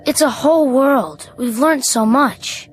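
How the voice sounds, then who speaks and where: High-voiced eerie little girl. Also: a little slow, breathy edge, soft background music. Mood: coolly knowing. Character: eerie, coolly polite young daughter, a creepy little girl. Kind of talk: radio drama acting.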